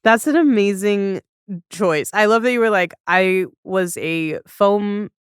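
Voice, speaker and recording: A woman's voice: medium pitch, slow, clear, resonant tone, no echo, studio quality. A woman hosting a casual, chatty interview, with effusive reactions and rambling asides. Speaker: podcast host.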